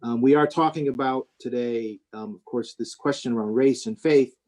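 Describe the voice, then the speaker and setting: A man hosting a talk, introducing a guest speaker informally, halting between phrases. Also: mid-range voice, a little mellow.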